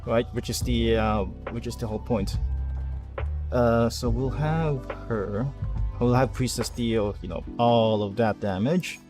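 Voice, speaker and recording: A man's voice: mid-range voice, unhurried, music in the background. A man giving casual gameplay commentary, thinking aloud and muttering half-finished plans with some mild swearing. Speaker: video game streamer.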